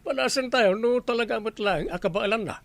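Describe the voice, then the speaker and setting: A harried village captain in a radio comedy drama, grumbling and exclaiming in an Ilocano accent. The sound is dry, with no room around the voice. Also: male voice, high-pitched, brisk, vocal fry, a bit bright, dry recording. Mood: troubled, grumbling.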